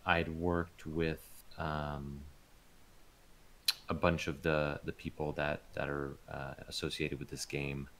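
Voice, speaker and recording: A man speaking as an interview guest in a casual, laid-back and halting way. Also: very deep voice, little pitch movement, fairly bright tone. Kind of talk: casual chat.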